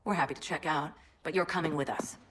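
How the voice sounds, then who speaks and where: A woman playing a tough, brisk, defiant superheroine in a video game. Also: low-pitched, steady tone, very noisy, close to an everyday voice. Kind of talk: video game cutscene acting. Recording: loud music behind the voice.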